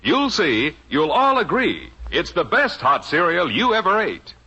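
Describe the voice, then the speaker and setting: A man's voice: a little high, huge pitch swings, a little slow, loud music behind the voice. An American man delivering an emphatic scripted commercial in 1950s radio style. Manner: emphatic, salesman-like. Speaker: commercial announcer. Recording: muffled old radio recording.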